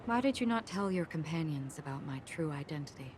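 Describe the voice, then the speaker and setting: A grave, courteous woman from a game cutscene. Her voice sits low and turns softly breathy as she talks in a measured, reserved way. A faint noise lies behind her. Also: animated. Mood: composed, grave. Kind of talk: scripted dramatic game cutscene acting.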